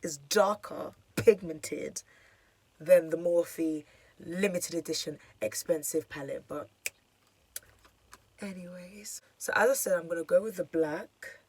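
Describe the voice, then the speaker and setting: A young woman with a hoarse, croaky voice, chatting casually through a makeup tutorial with lots of fillers. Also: lowish voice, slow pace, darkish tone. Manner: chatty, filler-heavy, self-deprecating. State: hoarse, losing her voice. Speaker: beauty vlogger.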